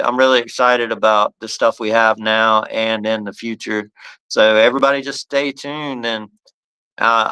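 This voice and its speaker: A man's voice: mid-range voice, gravelly, bright, forceful tone, clean tone, low-quality recording. A man hosting a casual live-stream chat, laughing often and talking in an easygoing, upbeat way. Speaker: host.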